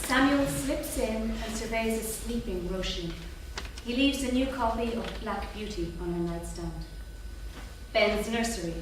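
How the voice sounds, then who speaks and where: A woman reading out stage directions as scripted narration. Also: on the high side. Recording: echoey theatre from far off, clear noise.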